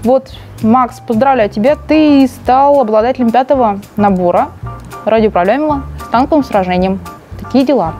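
A young woman co-hosts a casual live stream, chatting and teasing as she reads out giveaway winners. Her voice sits fairly high and sounds mellow and soft. Light music plays quietly in the background. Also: moderate pace. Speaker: stream co-host.

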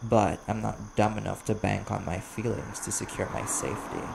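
A man voicing a wary, desperate vampire bounty hunter in an audio roleplay, blunt and guarded, with a gravelly voice. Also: fairly low pitch, steady tone, moderate pace. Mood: defensive, firm. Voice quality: gravelly, creaky. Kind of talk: ASMR audio roleplay acting. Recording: clear background noise, chirping crickets.

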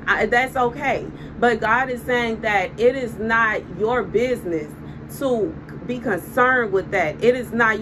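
Southern woman with an emphatic repeating delivery. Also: fairly high pitch. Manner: repetitive, emphatic and animated with hand gestures. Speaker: prophetic video host. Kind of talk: casual spoken prophetic message. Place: indoor room before window blinds. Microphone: phone camera on a desk. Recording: clear room noise behind.

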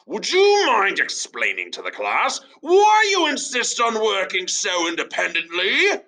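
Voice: medium pitch, huge pitch swings, a little slow, bright, forceful tone, pitched above the performer's own voice, exaggerated voice. A narrator playing a fuming, stuffy teacher.

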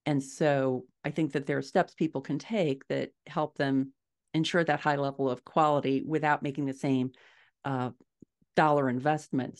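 A middle-aged woman giving measured, explanatory answers as a guest author in a conversational interview over a video call. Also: extremely low pitch, a little mellow. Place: small furnished room at home, indoors.

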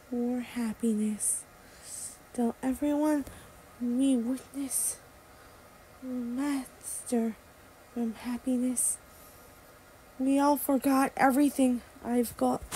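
A woman voicing a dreamy, hopeful cartoon girl in a soft, halting manner. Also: high voice, a little hiss or hum, poor audio. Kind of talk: amateur character voice lines.

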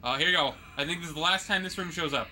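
A man co-hosting a game let's play, joking and teasing the player with animated commentary. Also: a little high, racing, a little hiss or hum, low-quality recording. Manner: teasing, animated, exclaims and laughs often.

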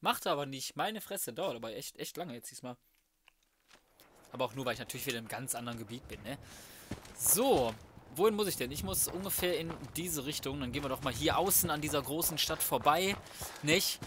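A man's voice: fairly high pitch, expressive, brisk. A young man giving casual, slangy, flippant let's play commentary. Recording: faint noise, game sounds behind. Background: video game ambience.

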